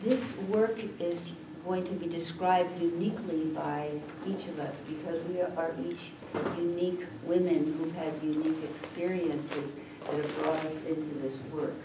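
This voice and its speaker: A soft-spoken woman with a low voice speaks reflectively as a death doula on a panel. She is far from the microphone in a reverberant room. Heavy background noise nearly covers her quiet voice. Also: steady tone, unhurried, hardly any pauses. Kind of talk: personal talk to an audience. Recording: echoey room, far from the mic, loud noise.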